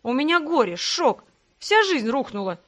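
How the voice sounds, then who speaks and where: A woman's voice: sits high, sing-song, normal speed, fairly bright tone, voice pushed up. A woman voicing a fiery young fantasy heroine in an audiobook, dramatic and breathless with outrage. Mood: indignant.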